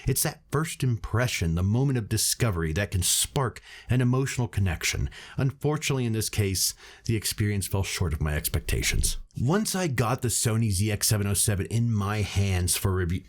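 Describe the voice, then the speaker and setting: A man hosting a product review, reading scripted narration to his viewers. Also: on the low side. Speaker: reviewer and channel host. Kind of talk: scripted video narration, audio gear review.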